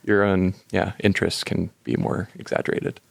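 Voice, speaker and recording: A man's voice: low-pitched, level tone, moderate pace, gravelly, no echo. A man from North Carolina, a musician guest in an interview, speaking thoughtfully and hesitantly. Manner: thoughtful, hesitant, soft-spoken. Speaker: band member guest. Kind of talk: casual interview.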